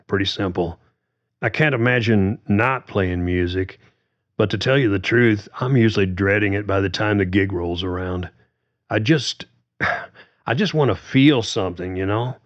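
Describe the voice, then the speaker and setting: A man voicing a frustrated middle-aged male musician, earnest and exasperated, swearing when pushed. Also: lowish voice. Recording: dull lo-fi recording.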